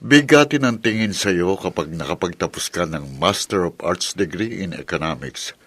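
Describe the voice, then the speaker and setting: An affable, trusting Filipino executive of middle age in a video drama, played by an actor. His voice sits fairly low and he talks in an easygoing way, dropping in English phrases. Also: animated, normal speed, fairly bright tone. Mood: approving. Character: affable, trusting older company executive, the godfather. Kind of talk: scripted Tagalog video drama acting.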